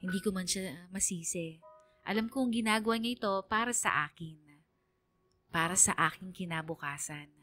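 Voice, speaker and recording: A woman's voice: lowish voice, moderate pace, music in the background, natural voice. A woman playing a lonely, wistful female student, narrating a radio drama letter in a plaintive, confiding way. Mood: plaintive, hurt.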